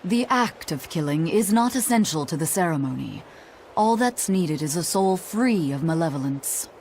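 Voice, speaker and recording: Low, composed scholarly woman. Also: animated, moderate pace, darkish tone, slight background noise. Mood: instructive. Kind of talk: expository video game voice acting.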